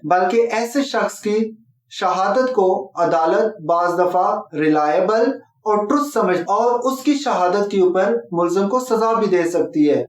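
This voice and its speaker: A man with a Pakistani accent giving a formal, explanatory legal lecture, instructive and mixing in English terms. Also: sits high. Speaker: law lecturer and presenter. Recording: echoey room sound.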